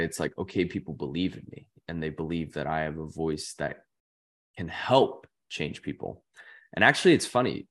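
A young man in his twenties, a podcast guest telling stories in a loose, rambling way full of "like" fillers. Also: low-pitched. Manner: rambling, heavy use of "like" fillers. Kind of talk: casual chat.

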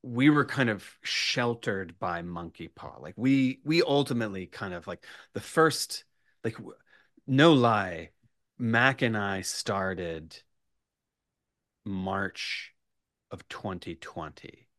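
A man chatting casually as a writer guest on a podcast, in halting bursts with repeated starts and asides. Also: on the low side, lively intonation, a bit bright, no room sound, clean studio sound. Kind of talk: animated casual interview chat.

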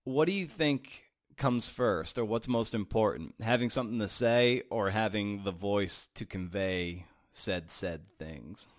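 Low-voiced man hosting, dry close sound. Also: a little slow, hardly any pauses, dry recording. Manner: conversational, rambling long questions. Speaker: podcast host. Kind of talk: casual interview questions.

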